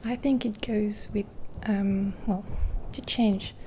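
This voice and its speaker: A caring woman talks in a hushed, partly whispered voice right up against a sensitive mic. Her tone is mellow and warm, and her pace drifts slowly with soft pauses. A faint hiss sits underneath. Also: mid-range voice, steady tone. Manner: gentle, half-whispered rambling with pauses; caring, reassuring. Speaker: ASMR creator. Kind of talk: soft-spoken ASMR roleplay.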